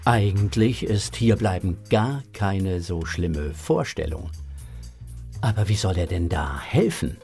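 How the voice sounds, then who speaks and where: Unhurried male children's story narrator. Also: low-pitched, animated, vocal fry, darkish tone, husky, faint music behind.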